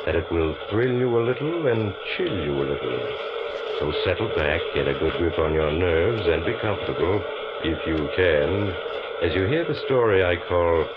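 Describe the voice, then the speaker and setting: Very deep-voiced sinister radio storyteller. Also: male voice, lively intonation, very noisy. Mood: ominous, inviting. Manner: measured, ominous, deliberate. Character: sinister, genial male storyteller of strange, terrifying tales. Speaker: mystery anthology narrator. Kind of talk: 1950s radio drama narration. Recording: muffled old radio recording, loud music.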